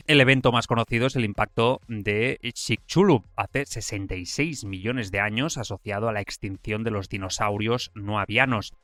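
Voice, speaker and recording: Low-voiced Spanish man hosting, dry sound. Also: fairly bright tone, dry recording. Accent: Spanish from Spain. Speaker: podcast host. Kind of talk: scripted science explainer narration.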